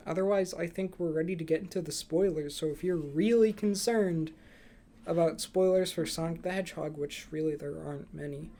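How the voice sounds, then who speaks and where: A man's voice: a little high, brisk, warm tone. A young man hosting a casual movie review podcast, chatting with dry, flippant humour. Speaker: podcast host.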